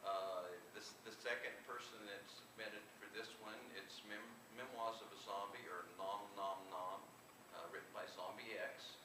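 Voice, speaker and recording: Halting low-voiced man in a noisy echoey room. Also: fairly even intonation, distant mic. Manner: halting, hesitant delivery. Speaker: author presenting own book. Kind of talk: informal live book presentation. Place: convention room, live event.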